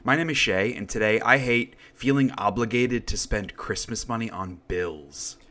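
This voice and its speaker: A man hosting a casual podcast, his voice raspy and congested from the cold. It sits fairly low but has a forceful, bright edge. He chats along with quick, self-deprecating asides. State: congested, needs to cough. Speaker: podcast host. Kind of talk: casual chat.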